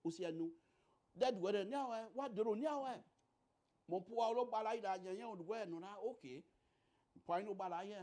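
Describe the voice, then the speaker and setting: A middle-aged man from Liberia with a Liberian accent, giving an emphatic political talk in halting, repetitive phrases. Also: high-pitched, darkish tone.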